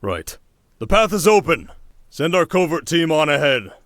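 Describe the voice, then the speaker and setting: A man playing a composed desert king in an ASMR audio drama, authoritative and measured with dry wit. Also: fairly high pitch, sing-song, a bit bright, no echo. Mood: commanding. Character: composed, dry-witted desert king leading his army.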